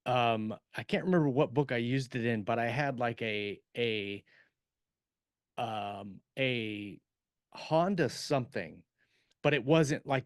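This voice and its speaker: A man talks as a podcast co-host in a very dry, close recording. His delivery is casual and halting, rambling through explanations with plenty of examples, at an average pitch for a man. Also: no room sound. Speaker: podcast co-host and science fiction writer. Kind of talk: casual chat about writing.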